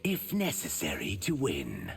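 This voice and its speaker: Gravelly, proud reptilian shapeshifter warrior. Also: male voice, sits low, wildly swooping pitch, unhurried, noisy background, full character voice. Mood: guarded. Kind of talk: video game fight-intro dialogue.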